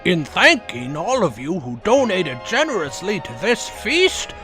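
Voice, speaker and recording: A man's voice: sits high, very animated, measured pace, over music. A man voicing a jolly, mocking male rival who makes teasing speeches in a cartoon comic dub. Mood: mock formal. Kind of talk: comic book fan dub.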